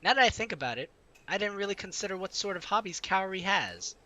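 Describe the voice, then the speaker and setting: Lively, bright-voiced man reading a game aloud. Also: high voice, quick pace. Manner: breaks into giggles and asides while reading. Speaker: let's play host. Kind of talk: reading a visual novel aloud with casual commentary. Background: game music.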